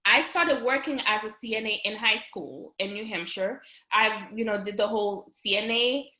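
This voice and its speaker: A woman in her thirties from Haiti with a Haitian accent. She talks as a guest in a casual interview, chatty and full of fillers, restarts and quick laughs. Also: medium pitch, sharp tone. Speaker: guest, registered nurse and business owner. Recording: roomy echo.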